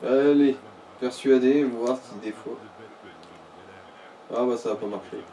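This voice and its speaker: A man's voice: medium pitch, unhurried, mellow. A man giving slangy, explanatory co-commentary in a casual gaming chat. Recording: distant mic with background noise.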